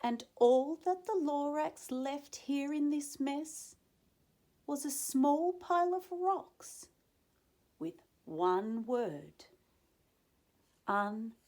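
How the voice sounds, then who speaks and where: A woman reading a children's story aloud expressively, with dramatic pauses and asides to young listeners. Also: high voice, animated, dark tone. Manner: dramatic pauses, drawn-out phrasing.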